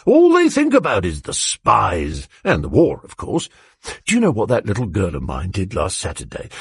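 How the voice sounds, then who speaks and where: A man's voice: lowish voice, husky, no room sound, heavily acted. A man voicing a cheery, zealous, bluff male neighbour who talks in a chummy way. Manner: cheery and eager, chummy "old boy" talk.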